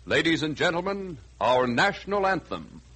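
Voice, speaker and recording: A man's voice: a little high, sing-song, moderate pace, heavy background noise. An American man making formal, precise network announcements in 1940s radio style, on a grainy vintage broadcast.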